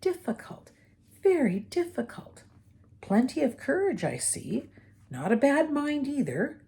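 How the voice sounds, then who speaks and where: Woman putting on a high musing magic hat. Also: sing-song, dark tone, exaggerated voice. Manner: musing, drawn-out, teasing questions. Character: ancient talking magic hat, male, musing and sly. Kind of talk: audiobook character voice.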